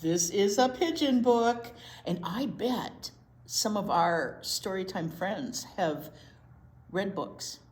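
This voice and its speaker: A woman's voice: medium pitch, lively intonation, takes it slowly, a little mellow. A woman presenting storytime, chatting and reading aloud for young children. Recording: roomy echo, noticeable room noise.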